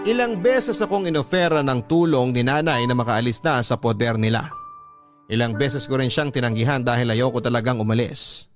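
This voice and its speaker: A man with a Filipino accent reading a scripted first-person letter as a radio drama narrator. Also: medium pitch. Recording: music under the narration.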